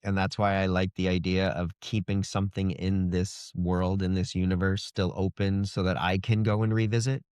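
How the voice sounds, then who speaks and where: Low-voiced man in casual interview chat. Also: level tone, moderate pace, few pauses, darkish tone, smooth, no room sound, studio quality. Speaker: guest author.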